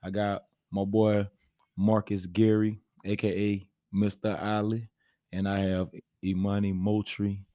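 A man's voice: lowish voice, level tone, unhurried, mellow, no echo, studio quality. An American man hosting a podcast, chatting casually and informally with slang. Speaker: podcast host.

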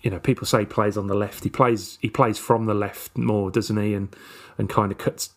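A man's voice: lowish voice, flat delivery, normal speed. A man co-hosting a casual football chat, speaking hesitantly with many fillers and repeated words. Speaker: football podcast co-host. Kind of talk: casual match review chat.

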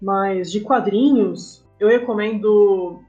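A woman's voice: medium pitch, slow pace, a little mellow. A woman with a Brazilian accent, chatting casually as a podcast guest. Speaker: comic artist, podcast guest. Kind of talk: casual interview chat. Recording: dull lo-fi sound, faint music.

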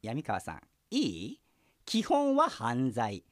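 A man's voice: mid-range voice, wildly swooping pitch, takes it slowly, dry recording, clean studio sound. A man acting in a comic radio drama as a sarcastic, exasperated junior police detective, dutifully polite but slipping into insults. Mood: earnest.

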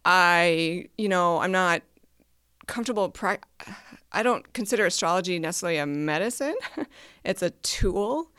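A woman's voice: fairly low pitch, lively intonation, moderate pace, a bit bright, clean tone, no room sound, clean studio sound. A woman hosting a podcast who chats casually, rambling enthusiastically and laughing often as she explains her work as an astrologer. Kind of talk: casual conversation.

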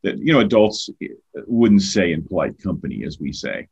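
Husky older man with a dull lo-fi sound. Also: low-pitched, sing-song, moderate pace. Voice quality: loud voice. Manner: earnest, repeats words when starting phrases. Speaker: guest. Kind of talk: conversational podcast talk.

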